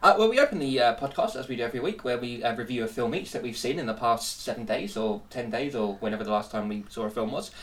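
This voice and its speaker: A man's voice: mid-range voice, expressive, fast talker, few pauses, far from the mic, faint music behind. A man hosting a casual film-review podcast. He is jokey and teasing, and stuffy with a cold. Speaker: podcast host.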